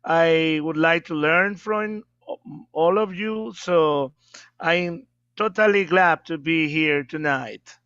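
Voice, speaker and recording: A man's voice: on the high side, slow, fairly bright tone, smooth, no echo, highs cut off. A man from Ecuador, politely introducing himself as a guest on a video call. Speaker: meeting guest.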